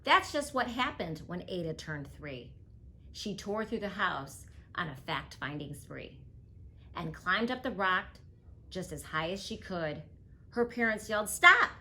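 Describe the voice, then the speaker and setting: Slow, expressive woman reading a rhyming book. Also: lowish voice, a little hiss or hum. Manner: rhythmic, expressive rhyming delivery. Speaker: guest reader. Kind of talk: picture book read-aloud.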